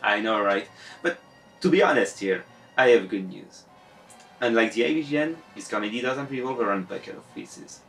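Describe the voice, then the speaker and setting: Young French-accented male reviewer, lowish, animated, sarcastic; light music bed. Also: moderate pace. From France. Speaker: YouTube reviewer. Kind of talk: scripted video essay. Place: home room with bookshelves.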